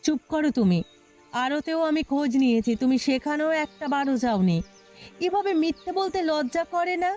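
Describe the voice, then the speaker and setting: High-pitched scolding village wife played by a woman. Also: animated, moderate pace, a little mellow, faint music behind. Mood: accusing. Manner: scolding, accusatory. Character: sharp-tongued quarrelsome village wife, suspicious and indignant. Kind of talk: cartoon dialogue acting.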